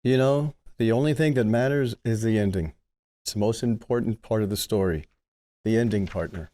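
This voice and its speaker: A man playing a smirking, theatrical movie star in his late fifties with a droll, unhurried swagger in a comedy. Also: on the low side, warm tone, close to an everyday voice. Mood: philosophical, smug. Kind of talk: comedy script table read.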